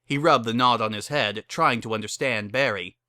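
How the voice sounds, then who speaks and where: Casting: male story narrator, mid-pitched, crisp bright tone, dry close recording, scripted prose delivery. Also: normal speed, dry recording.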